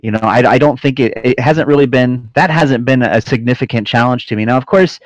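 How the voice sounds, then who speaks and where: A man's voice: medium pitch, brisk, no room sound. A man talking as a podcast guest, explaining things casually and correcting himself as he goes, on slightly lo-fi audio. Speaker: podcast guest, flight-sim air traffic controller and trainer.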